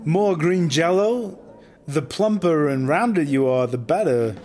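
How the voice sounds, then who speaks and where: Slow, high-voiced solemn cult leader. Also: male voice, animated, darkish tone, soft background music. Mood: coaxing. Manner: solemn, ceremonial pronouncements. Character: solemn male cult leader preparing human sacrifices. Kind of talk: sci-fi comedy audio drama acting.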